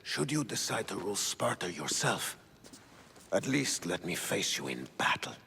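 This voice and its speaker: A man's voice: lowish voice, big pitch swings, moderate pace, vocal fry, sharp tone, big-room sound, a little hiss or hum, full character voice. An actor playing a stern, proud Spartan king in a video game, speaking in a declamatory, imperious manner. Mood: grave, conceding. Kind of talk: dramatic video game acting.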